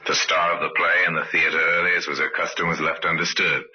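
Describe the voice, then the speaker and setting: A man's voice: low-pitched, little pitch movement, quick pace, slightly rough. A man acting as the grave narrator of an old-time radio crime drama, telling it in terse, dramatic phrases. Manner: terse, dramatic storyteller delivery. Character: grave male storyteller of a murder case. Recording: dull old radio recording with music.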